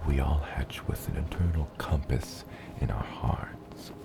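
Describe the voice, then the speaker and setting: A man voicing a gentle, proud dragon father in an ASMR roleplay, tenderly encouraging his hatchling in a gravelly, often breathy and whispered voice. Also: rumbling low, exaggerated voice. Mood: soothing, guiding. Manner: slow, tender, encouraging, softly playful.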